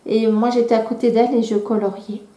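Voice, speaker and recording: A woman in her thirties with a cold chats casually about her hobby, full of hesitations and restarts. Her voice sits a little high and mellow, heard in an echoey room. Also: flat delivery, brisk. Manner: hesitant, many fillers and restarts. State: has a cold. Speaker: vlogger. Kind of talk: casual chat.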